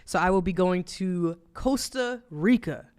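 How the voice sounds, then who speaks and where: A man in his thirties with a New Jersey accent, co-hosting a podcast in relaxed, rambling chat full of fillers and laughs. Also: sits high, measured pace, very noisy. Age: 30s. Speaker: podcast co-host. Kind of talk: casual chat.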